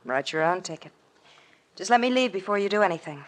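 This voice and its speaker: Woman acting a low-voiced scheming femme fatale. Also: animated, brisk, lightly acted. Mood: cold resignation. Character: cool, scheming glamorous female murder suspect. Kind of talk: 1940s-50s radio drama acting. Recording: noisy, crackly vintage radio recording.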